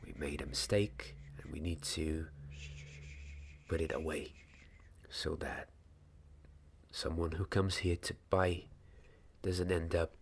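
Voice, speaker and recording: A young man doing soft-spoken, half-whispered ASMR roleplay as a gentle wand maker's apprentice, speaking in a hushed, deliberate, halting way close to the microphone. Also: a bass voice, flat delivery, gravelly, a little hiss or hum. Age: young adult. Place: small candlelit indoor set.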